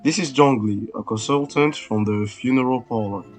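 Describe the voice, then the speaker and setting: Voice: medium pitch, moderate pace, faint music behind. A gamer giving casual let's play commentary, with short reactive remarks that echo the game's characters. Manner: brief reactive interjections, echoing the characters.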